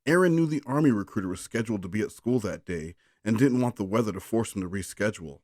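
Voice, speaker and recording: A man's voice: lowish voice, lively intonation, fast, a little mellow, dry recording, studio quality. A man chatting casually and reading a story aloud on a phone call, coaxing and teasing in a rambling way. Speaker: bedtime story reader on a call.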